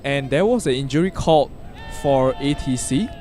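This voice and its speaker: A man doing live play-by-play commentary at an outdoor field, given to sudden loud exclamations. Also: medium pitch, animated, normal speed, a little hiss or hum. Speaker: sports commentator.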